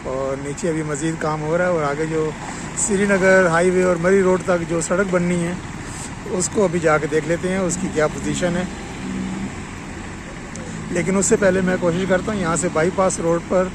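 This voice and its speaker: Pakistani man vlogging over loud road noise. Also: high voice, fairly even intonation, moderate pace. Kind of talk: on-site road update commentary. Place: outdoors on a roadside by construction works. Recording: loud outdoor traffic and vehicle noise. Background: traffic, vehicles, outdoor ambience.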